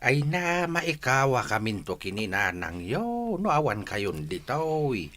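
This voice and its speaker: A man's voice: mid-range voice, very animated, slow pace, pushed and bright, a little hiss or hum, full character voice. A man playing a concerned old grandfather in a radio drama, with a homely, wistful manner. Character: concerned old grandfather, fond of his family.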